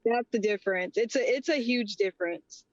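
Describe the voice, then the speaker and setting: A woman's voice: medium pitch, a little fast, dry recording. A young woman guest on a podcast, chatty and upbeat, laughing often. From Texas and Rhode Island. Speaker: guest, tea business co-owner. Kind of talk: casual interview talk. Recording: dry, dull lo-fi audio.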